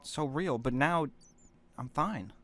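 A young man playing a confused teenage boy newly turned hellhound in a Minecraft roleplay drama, halting and stammering. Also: mid-range voice, animated, a bit bright. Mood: bewildered. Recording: music behind the voice.

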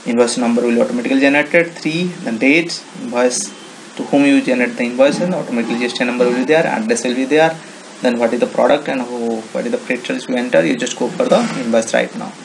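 Gravelly Indian-accented man giving a tutorial. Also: medium pitch, quick pace. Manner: non-native English phrasing, repeats himself. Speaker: software demonstrator. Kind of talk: informal screen-recorded tutorial. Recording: noisy home-made screen recording.

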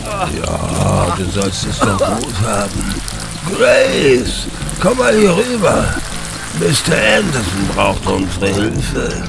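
A man's voice: fairly low pitch, huge pitch swings, vocal fry, over loud music, heavily acted. An actor playing a sinister old country man who speaks with false kindness in an audio drama. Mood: sinister coaxing. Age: older. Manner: slow, drawling, falsely kind.

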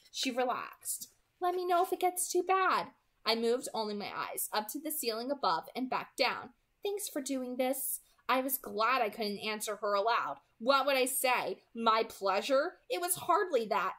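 A woman in her twenties reading a novel aloud at home, expressively, as an amateur who sometimes stumbles and corrects herself. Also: fairly high pitch. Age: 20s. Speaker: amateur book reader. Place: small room at home, indoors.

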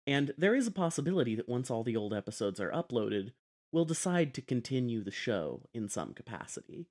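A man's voice: mid-range voice, very animated, brisk. A man speaking as a podcast host, giving a scripted introduction.

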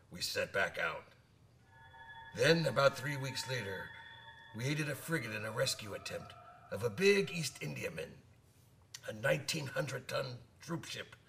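A hearty old sailor in a radio play, forever spinning yarns. His voice is bright and gravelly, swinging widely in pitch. He talks like an old salt, recorded a little away from the mic. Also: far from the mic, exaggerated voice. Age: older. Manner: nautical old-salt talk, calls listener laddie. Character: talkative old sailor, hearty teller of sea tales. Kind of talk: radio drama acting.

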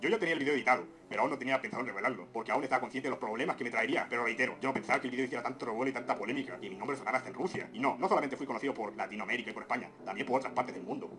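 A young man with an unusually deep, announcer-like voice calmly explaining his side of a controversy into a webcam at home. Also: racing, hardly any pauses, a crowd in the background. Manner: calm explanatory storytelling. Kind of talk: confessional video monologue. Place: dim room at home.

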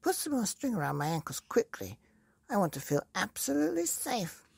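Woman putting on a squeaky old lady, breathy, panicky, storybook voice, husky edge. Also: sing-song, fairly quick, darkish tone, dry recording, full character voice. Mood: eager.

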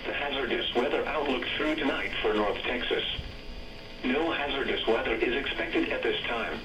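A man's voice: mid-range voice, distant mic. A man's text-to-speech voice reading an automated weather broadcast in an evenly clipped synthetic manner, played through a radio speaker. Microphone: camera pointed at a weather radio receiver. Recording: radio speaker recorded from across an echoey room.

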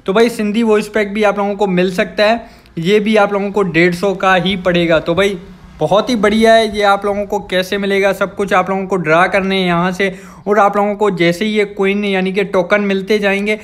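A young man with a Pakistani accent and a notably high-pitched voice hosts a gaming video. He talks viewers through the screen casually, circling back over his points as he explains. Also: little pitch movement, a little fast. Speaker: gaming channel host.

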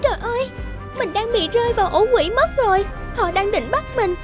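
A tiny, sweet fairy-tale girl in a cartoon. She sounds plaintive and fearful, piping at an extremely high pitch over music. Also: expressive, brisk. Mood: frightened.

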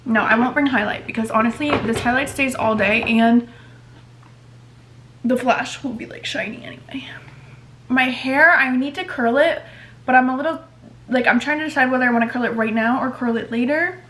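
A woman's voice: high voice, moderate pace, fairly bright tone. A woman in her twenties vlogging casually at home, chatty and swearing often, filmed on a handheld camera. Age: 20s. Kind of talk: casual vlog chat. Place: apartment bedroom and kitchen. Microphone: handheld vlog camera.

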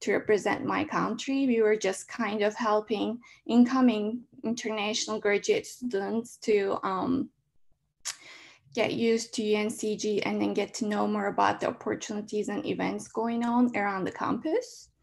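A young woman from Turkey speaking English as a second language, presenting in an online meeting and pausing often with fillers. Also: mid-range voice, steady tone, gravelly. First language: Turkish. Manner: halting non-native English with many fillers. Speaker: graduate student senator. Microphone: laptop mic on a video call.

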